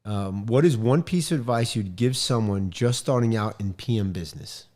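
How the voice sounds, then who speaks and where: A man's voice: on the low side, darkish tone. Upbeat middle-aged male podcast host. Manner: upbeat, joking, quick interjections. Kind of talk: casual interview podcast. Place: home studio office. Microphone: studio mic on boom arm, headphones.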